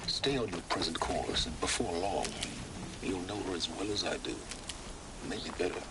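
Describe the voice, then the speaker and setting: A very low male voice sounds from a distance through loud noise. It is a mysterious, knowing informant in a video game, cool and measured, giving cryptic instructions with calm command. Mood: cool, cautioning.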